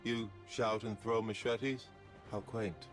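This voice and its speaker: An actor playing a snobbish, condescending, theatrical artist-killer in a video game, with pompous disdain. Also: male voice, on the low side, fairly even intonation, slow pace, soft background music. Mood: disdainful. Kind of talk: video game character voice lines.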